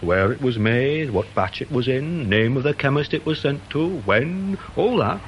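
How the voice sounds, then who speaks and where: Actor as an earnest British police sergeant, lively intonation. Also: male voice, mid-range voice, measured pace, close to an everyday voice. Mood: earnest, puzzled. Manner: deferential, precise, mildly pedantic. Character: polite, earnest, pedantic police sergeant keen on forensics. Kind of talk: old-time British radio detective drama acting. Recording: faintly noisy old radio drama recording.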